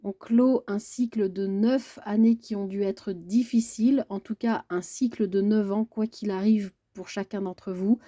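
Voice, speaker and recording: Card-reading vlogger, female, congested from a cold, halting delivery, deep warm timbre. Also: steady tone, no echo, studio quality. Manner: hesitant, halting delivery with frequent pauses. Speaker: tarot card reader and channel host. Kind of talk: casual personal video message.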